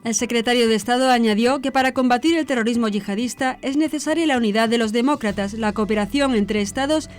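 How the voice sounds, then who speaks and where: A woman presents scripted news in a formal, measured manner. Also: fairly high pitch. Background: background music.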